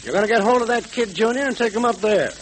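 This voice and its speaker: An actor in a vintage radio serial playing a blustering, scheming gang boss with tough, emphatic gangster talk. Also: male voice, sits high, fast talker, natural voice. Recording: crackly old radio recording.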